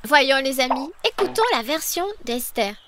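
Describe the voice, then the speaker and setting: A woman voicing an earnest, kind-hearted young girl in a French children's cartoon dub, animated and given to exclaiming. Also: high-pitched, moderate pace. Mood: reasoning. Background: music.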